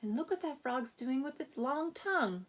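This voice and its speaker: A woman teacher giving a reading lesson to young children, prompting them with questions and reading aloud with expressive emphasis. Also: sits high, normal speed, background noise. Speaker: first-grade teacher.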